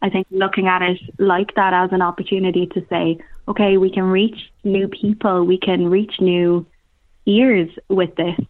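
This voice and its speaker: A woman's voice: fairly low pitch, level tone, moderate pace, dry recording. A woman with an Irish accent from Cork, chatting informally and thinking aloud as a theatre director in a casual interview. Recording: very phone-like, narrow-band sound.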